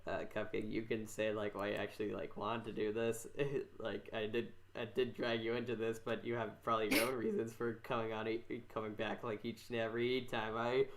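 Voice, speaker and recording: A man hosting a casual podcast, talking in a rambling, halting way and often repeating his phrases. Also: fairly low pitch, flat delivery. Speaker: podcast host.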